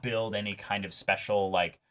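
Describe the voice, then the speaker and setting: A man with a bright, somewhat low-pitched voice talks through his opinions as a co-host. His delivery is casual and conversational, and he often slips into imagined quotes to explain a point. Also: steady tone, moderate pace. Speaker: co-host of a TV reaction and discussion show. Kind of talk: casual fan discussion.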